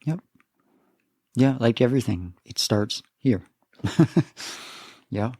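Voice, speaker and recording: A man hosting a podcast, relaxed and affirming in casual conversation. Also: mid-range voice, slow pace, dry recording, clean studio sound. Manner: relaxed, affirming, many mm-hmms. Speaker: podcast host.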